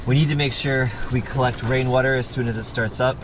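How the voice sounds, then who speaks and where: A man's voice: fairly even intonation, brisk. A man improvising in a homemade survival drama, talking in a practical, conversational and slightly hesitant way. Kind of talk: improvised survival role-play, plain conversational talk. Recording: loud boat engine and water noise. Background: boat, water and vehicle rumble.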